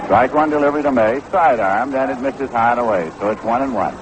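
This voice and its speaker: A 1960s radio broadcast with clear noise and outdoor ballpark ambience carries an American man's voice. He calls baseball play-by-play in a measured, descriptive way, at an average male pitch. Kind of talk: 1960s radio sports commentary.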